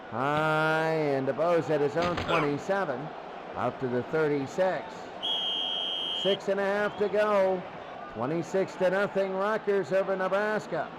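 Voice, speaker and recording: High-pitched male football play-by-play announcer. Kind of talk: live football play-by-play commentary. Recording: loud crowd noise behind voice. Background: loud stadium crowd.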